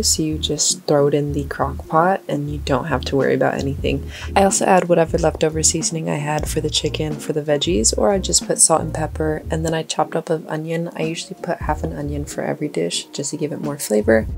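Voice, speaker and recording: A young woman narrates her cooking in an easygoing, chatty way, full of little "likes" and asides. Her voice sits low with a gravelly, fried edge. Light music plays faintly underneath. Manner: relaxed, chatty, trailing off with likes. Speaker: vlogger. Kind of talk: casual meal prep voice-over. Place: home kitchen.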